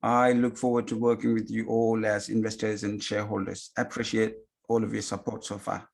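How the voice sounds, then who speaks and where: Earnest low-voiced founder on a video call. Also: male voice, completely flat delivery, fairly quick, vocal fry. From came as a refugee. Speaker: company founder. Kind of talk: investor webinar remarks.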